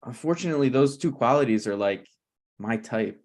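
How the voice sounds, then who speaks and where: A man acts out a glib, self-serving friend in a comedy table read. His intonation is very lively. He talks hesitantly yet stays shamelessly unbothered. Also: medium pitch, brisk, close to an everyday voice.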